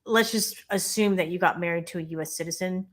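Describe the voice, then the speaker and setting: A woman co-hosting a live immigration Q&A stream, chatting and giving quick practical tips. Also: lowish voice. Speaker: immigration lawyer co-host.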